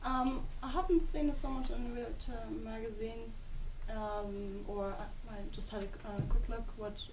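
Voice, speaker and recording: A woman's voice: on the high side, steady tone, measured pace, a little mellow. A young woman from Germany who studies abroad, answering audience questions after a talk, hesitant with many ums and restarts. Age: young adult. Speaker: conference speaker. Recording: distant mic with faint noise.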